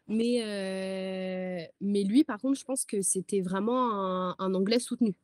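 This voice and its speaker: A woman co-hosting a book podcast from home, chatting in lively slangy French and filling her pauses. Her voice sits at a medium pitch. The sound is dry, with no room echo. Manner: animated, slangy, many fillers. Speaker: podcast co-host. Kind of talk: casual chat. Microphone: remote recording.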